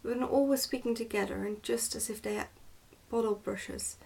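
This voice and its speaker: A woman reads a storybook in a hushed ASMR manner, slipping at times toward a whisper. Her delivery is careful and deliberate, and she sounds a little way back from the microphone. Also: fairly high pitch, steady tone, gravelly, breathy edge, far from the mic. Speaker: ASMR host reading a book aloud. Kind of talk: ASMR storybook reading, soft and partly whispered.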